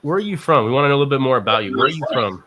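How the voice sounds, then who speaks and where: A man's voice: medium pitch, lively intonation, very fast, crowd noise. An American man hosting a show, interviewing a guest in casual conversational English.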